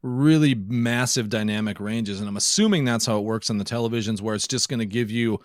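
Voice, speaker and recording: A man's voice: on the low side, a little fast, dry recording. Casual male podcast host, dry close sound. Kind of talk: casual tech and gaming podcast chat.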